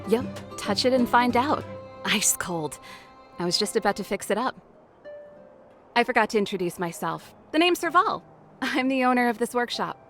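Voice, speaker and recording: A woman's voice: a little high, lively intonation, normal speed, a bit bright, faint music behind. A woman voicing a self-assured young female mechanic in a video game, casual, teasing and nonchalant. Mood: breezy, teasing.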